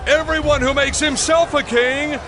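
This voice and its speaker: Shrill, threatening accuser. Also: male voice, steady tone, moderate pace, crowd noise, noisy background. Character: threatening accuser pressing a governor. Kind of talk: dramatized scripture audio acting.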